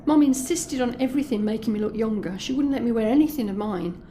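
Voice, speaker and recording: A woman's voice: on the high side, fast talker, warm tone, slight background noise, natural voice. A woman playing an anxious but determined young escort in an intimate, conversational audio drama monologue. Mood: troubled, confiding. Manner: confiding, conversational.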